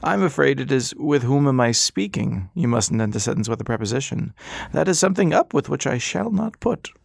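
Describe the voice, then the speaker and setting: A man's voice: medium pitch, very animated, brisk, creaky, no room sound, clean studio sound, voice pushed up. A man voicing a fussy, pompous elderly doctor who speaks with prim formality.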